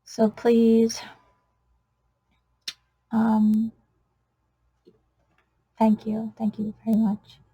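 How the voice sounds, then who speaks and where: A woman with a Trinidadian accent, giving a gentle spiritual card reading in an earnest, soft-spoken way that often drops to a whisper. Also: on the high side, completely flat delivery, extremely slow, long pauses, husky, rough recording. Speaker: oracle card reader.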